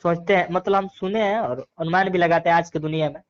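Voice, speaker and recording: A man's voice: fairly high pitch, fairly even intonation, quick pace. A man with a Bhojpuri accent giving opinions in a casual phone interview, earnest and argumentative, repeating phrases for emphasis. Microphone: phone call. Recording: dull lo-fi phone call recording.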